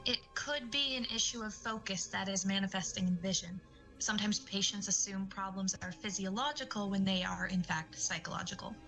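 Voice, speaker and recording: Casting: professional optometrist, played by a woman, crisp forward tone, middle pitch, tactful audio drama delivery. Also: brisk, a bit bright, faint music behind, natural voice, poor audio. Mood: measured, clinical. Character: measured, polite female eye doctor with grown child.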